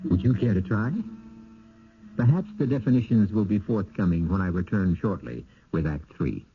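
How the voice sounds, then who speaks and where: A man narrating a scripted radio mystery drama as host, in a measured, philosophical, theatrical way. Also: low-pitched, expressive. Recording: muffled, noisy old radio recording.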